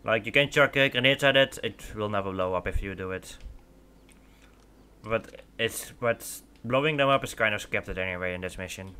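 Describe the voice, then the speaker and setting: A young man whose first language is Dutch talks through a game in English, loose and rambling, full of "uh" and "like" and restarted sentences. His voice sits fairly low but comes across bright and forceful. The sound is smooth and resonant. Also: moderate pace. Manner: rambling, filler-heavy with many restarts. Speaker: gaming channel host. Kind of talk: casual let's play commentary.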